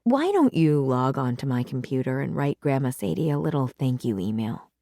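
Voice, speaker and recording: Woman doing a low, gently firm storybook dad. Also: sing-song, normal speed, no echo, studio quality, exaggerated voice. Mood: gently prompting. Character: calm, gently firm father of a young girl. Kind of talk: children's storybook read-aloud.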